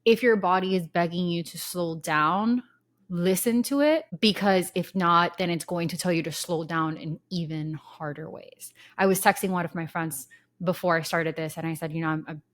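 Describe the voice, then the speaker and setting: A woman's voice: lowish voice, normal speed. A woman in her thirties hosting her own podcast, talking casually and candidly with rambling asides, sounding congested from a lingering cold. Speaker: podcast host. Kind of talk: casual solo podcast talk.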